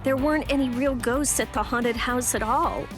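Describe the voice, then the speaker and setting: A woman's voice: high-pitched, normal speed, close to an everyday voice. An actress playing a plucky, direct young female warrior in an anime game dub. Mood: plain, earnest. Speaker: video game voice actor. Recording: music behind the voice.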